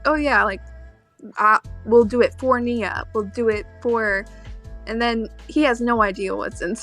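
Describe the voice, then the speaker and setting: Woman chatting casually over music. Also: a little high. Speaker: podcast co-host. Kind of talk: casual fan discussion.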